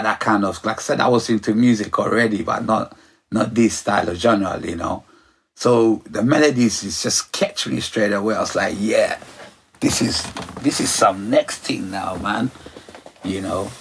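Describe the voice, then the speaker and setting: A middle-aged man from Ghana, his voice low and husky. He rambles through his answers, circling back with "you know" and "like I said.". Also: normal speed, fairly bright tone. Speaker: sound system operator, guest. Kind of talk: relaxed interview chat.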